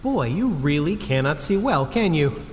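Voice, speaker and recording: A hapless everyman cleaner in a comedy audio drama, high-voiced and nervously sarcastic. He rambles self-deprecatingly with lively, jumpy intonation, his words ringing in a long cavernous reverb. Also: normal speed, background noise. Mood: wry.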